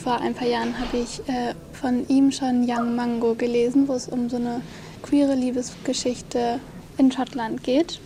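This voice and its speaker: Junge Frau mit hoher, gleichförmiger Stimme. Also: female voice, fairly high pitch, flat delivery, unhurried, dark tone, a little hiss or hum. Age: 20s. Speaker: festival intern. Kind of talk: radio interview.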